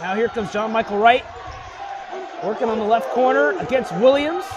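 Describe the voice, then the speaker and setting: A young man giving excited, projected live radio play-by-play of a basketball game. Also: extremely high pitch, moderate pace. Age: young adult. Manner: excited, projected, often shouting calls. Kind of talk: live radio sports commentary. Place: courtside in a basketball arena. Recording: loud crowd and gym noise behind. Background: crowd, bouncing ball, gym noise.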